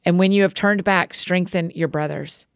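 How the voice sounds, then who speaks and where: A woman reading the words of a calm, authoritative male teacher in a measured, solemn way. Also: lowish voice, no echo, studio quality, natural voice.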